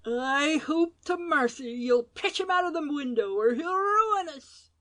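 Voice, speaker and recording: A woman's voice: high-pitched, lively intonation, a little slow, fairly bright tone, pitched above the performer's own voice. A woman reading an audiobook, voicing a furious old woman servant with a cracked, shrieking voice.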